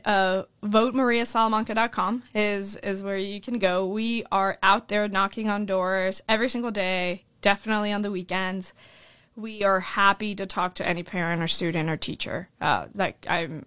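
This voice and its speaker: A woman's voice: mid-range voice, fairly even intonation, a bit bright, dry recording. A young Colombian-born woman running for school board, talking earnestly in a podcast interview and often tagging her points with "right?". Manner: earnest, fast-flowing with frequent "right?" tags.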